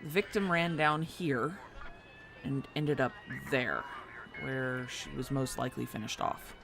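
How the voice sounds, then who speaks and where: A man's voice: mid-range voice, unhurried, creaky, over music. A man playing a frank, casual police officer briefing a superior in an audio drama, matter-of-fact and conversational. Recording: noisy scene, siren ambience behind.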